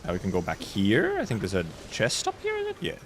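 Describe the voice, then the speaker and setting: A man's voice: fairly low pitch, wildly swooping pitch, quick pace, a little hiss or hum. A man giving casual live gameplay commentary as a streamer, rambling and muttering to himself. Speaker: game streamer.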